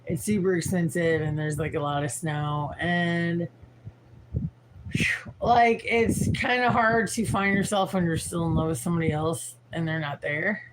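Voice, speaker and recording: A tired woman in her forties with a raspy voice, rambling frankly and swearing as she chats on a livestream. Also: fairly low pitch, steady tone, a little slow, noisy background. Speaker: livestream host. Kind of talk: casual livestream chat.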